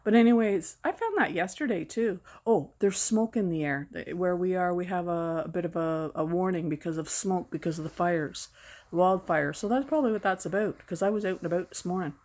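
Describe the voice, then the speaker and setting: A woman in her sixties chatting casually from behind a camera at home, rambling and self-deprecating, her voice going hoarse. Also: lowish voice, brisk, darkish tone. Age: 60s. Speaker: vlogger. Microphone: camera mic, speaking from behind the camera.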